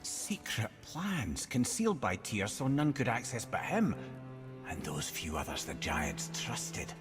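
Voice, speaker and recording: An actor playing a wry, learned old advisor in a video game, with a Scottish accent and a wordy, musing, theatrical way of talking. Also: male voice, lowish voice, big pitch swings, a little slow. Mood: delighted, hopeful. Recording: long reverb, music underneath. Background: music.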